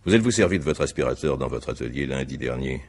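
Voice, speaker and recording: A methodical, courteous but insistent magistrate in a radio mystery. His voice is extremely deep and husky, with lively swings of intonation. The phrasing is formal and deliberate. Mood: politely probing. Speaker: examining magistrate. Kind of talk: French radio mystery drama acting.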